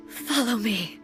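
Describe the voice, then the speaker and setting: A woman playing a solemn, regal warrior deity in a video game, speaking in a formal, stately, grave manner. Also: fairly high pitch. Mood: weary, resolute. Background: game music.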